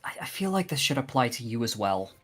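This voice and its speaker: A man's voice: medium pitch, big pitch swings, fast, a bit bright. A man running a tabletop fantasy game as game master, talking casually and narrating to the players.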